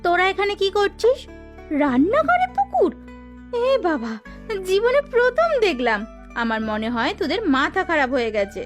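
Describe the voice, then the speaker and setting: A woman's voice: very high-pitched, very animated, normal speed, soft background music. A woman voicing a mocking, scornful village sister-in-law in a cartoon, with a taunting, sneering delivery. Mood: scornful surprise. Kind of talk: Bengali village cartoon dubbing.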